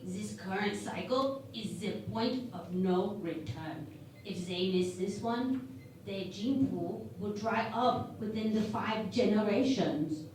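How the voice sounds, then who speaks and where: An earnest, analytical female science officer, acted by a woman in a sci-fi radio parody. She speaks in a slow, deliberate, matter-of-fact way. The sound is very echoey and a bit distant. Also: mid-range voice, a little mellow, close to an everyday voice. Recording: very echoey room, distant mic, faint noise.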